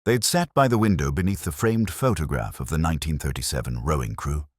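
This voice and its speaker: Man, extremely deep voice, expressive pitch movement, measured literary delivery, brooding detective narration. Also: breathy edge, no room sound, clean studio sound. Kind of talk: scripted first-person detective story narration.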